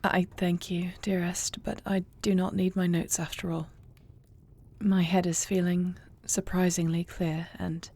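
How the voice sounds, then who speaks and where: A woman's voice: on the low side, level tone, unhurried, a little mellow. A woman playing a grieving young warrior princess about to become queen, speaking formally and earnestly in an intimate fantasy audio roleplay. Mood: hushed, resolute. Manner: formal, earnest archaic phrasing.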